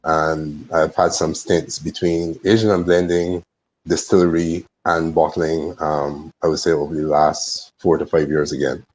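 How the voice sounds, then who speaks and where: A man's voice: very deep voice, little pitch movement, unhurried, a little mellow, rough recording. A man introducing himself carefully on an online call. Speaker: long-serving rum distillery employee. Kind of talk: careful self-introduction on a webinar. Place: indoors, on a video call.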